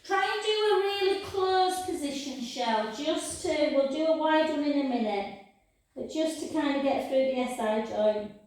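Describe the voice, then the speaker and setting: A woman pilates instructor with a croaky voice, giving encouraging step-by-step cues to a live class and calling them guys. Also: high-pitched, expressive, normal speed, darkish tone. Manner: encouraging, calls students babe and guys. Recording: echoey room, voice from far off.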